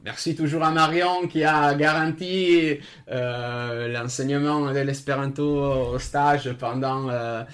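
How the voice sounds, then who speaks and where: Italian-native guy on a radio chat, talks real slow, lots of ums and pauses. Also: fairly high pitch, steady tone, a bit bright, clean tone. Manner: slow, halting, many hesitations. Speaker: association member. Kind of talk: radio discussion.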